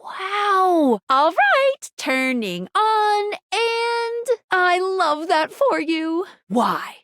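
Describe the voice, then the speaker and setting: High, perky, geeky game girl. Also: very animated, very slow, fairly bright tone, no echo, studio quality, full character voice. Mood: amazed, excited. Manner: peppy, quirky, slangy, stammers when flustered. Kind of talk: animated video game character dialogue.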